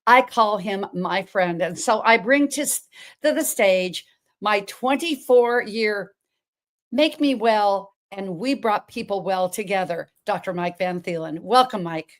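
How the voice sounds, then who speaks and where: A woman's voice: mid-range voice, unhurried. A woman hosting an online talk, chatty and effusive, often restarting her sentences. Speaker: host. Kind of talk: casual interview chat.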